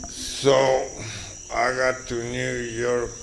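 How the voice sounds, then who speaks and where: Slow elderly man. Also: medium pitch, little pitch movement. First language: Spanish. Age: 80s. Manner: rambling, halting with fillers and chuckles. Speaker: interviewee. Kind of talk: slow storytelling reminiscence. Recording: noisy with crickets.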